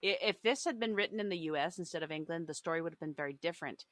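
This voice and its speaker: A woman's voice: lowish voice, very fast, no room sound, studio quality. A woman co-hosting a podcast, chatting casually with wry, joking delivery. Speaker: podcast co-host. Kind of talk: casual comedic discussion.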